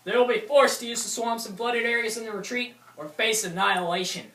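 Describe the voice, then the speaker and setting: A man as an earnest, declamatory young-sounding soldier, bright and high, heard from the back rows of a war play. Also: brisk. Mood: serious, briefing. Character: earnest American airborne soldier recounting battles as comrade. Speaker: actor in a war drama. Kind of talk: theatrical war narration. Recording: echoey room from far off, noisy.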